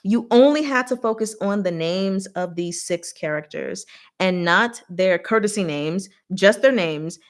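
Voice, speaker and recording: A woman hosting a live recap in a casual, chatty, expressive style, talking to her audience like a fellow fan. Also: mid-range voice, unhurried. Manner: chatty, expressive, giggly, slangy. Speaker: host of a live book recap and discussion.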